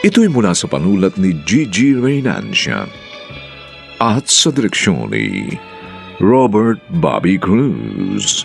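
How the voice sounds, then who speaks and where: A man's voice: low voice, wildly swooping pitch, slow, vocal fry. A man with a Filipino accent announcing a radio drama's sign-off in a dramatic, theatrical style. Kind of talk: dramatic scripted sign-off narration. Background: faint music.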